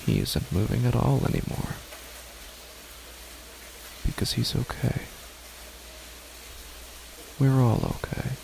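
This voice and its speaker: A man voicing a grieving parent alone in a dark, silent house, speaking in a slow, hushed, dreamlike monologue for a horror story. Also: medium pitch, wildly swooping pitch, vocal fry, background noise, voice pushed up, exaggerated voice. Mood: eerie, hushed. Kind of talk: first-person horror narration.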